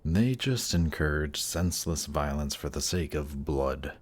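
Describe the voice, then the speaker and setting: A man's voice: rumbling low, lively intonation, unhurried, husky, heavily acted. A man playing a suave, haughty demon prince in a fantasy audio drama, speaking in a polished, courtly and condescending manner. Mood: weary disdain.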